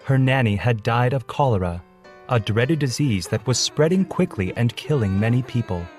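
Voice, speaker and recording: A man's voice: mid-range voice, expressive, moderate pace, a little mellow. A man narrates a scripted story for children. His voice sits in a middle range, and faint music plays beneath the reading.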